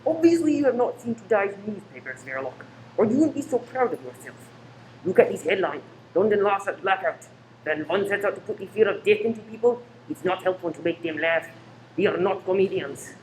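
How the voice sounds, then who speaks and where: A man playing a menacing spy ringleader in a radio play, measured and scornful as he gives orders. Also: high voice, animated. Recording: noisy room recording.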